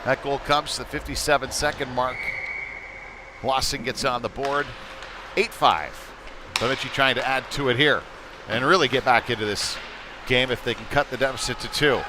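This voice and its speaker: A man doing live play-by-play hockey commentary for a local stream, speaking in clipped running phrases as he follows the play. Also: mid-range voice. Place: indoor ice rink during a game. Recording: noisy live rink broadcast. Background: loud ambient arena noise.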